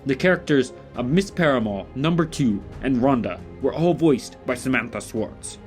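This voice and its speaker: A man's voice: mid-range voice, expressive, moderate pace, faint music behind. A man announcing the credits of an audio drama in a scripted read.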